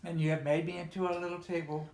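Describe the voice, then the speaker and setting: A man's voice: a little high, flat delivery, fast, creaky, a little mellow. Man voicing an earnest young talking tree, echoey. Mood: sad, pleading. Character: young talking tree, hopeful and devout, childlike in disappointment. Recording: roomy, echoey home recording with faint noise.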